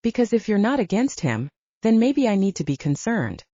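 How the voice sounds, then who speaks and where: A woman's voice: medium pitch, very animated, moderate pace, dark tone, dry recording, muffled recording. A woman voicing a teasing, determined male hero in a werewolf audiobook, with casual, wry banter. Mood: firm. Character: teasing, determined man, first-person hero of werewolf story. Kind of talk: audiobook character acting.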